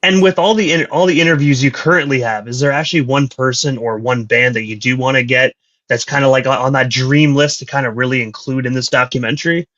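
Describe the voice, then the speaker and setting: A man's voice: mid-range voice, fairly even intonation, brisk, fairly bright tone. A man in his thirties interviewing casually and effusively for radio, with slangy, sweary talk. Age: 30s. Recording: dull lo-fi sound.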